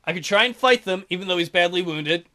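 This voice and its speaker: A man's voice: high voice, fast talker, sharp tone. A man hosting a let's play, reading a visual novel aloud and cracking crude, offhand jokes. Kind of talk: casual gameplay commentary.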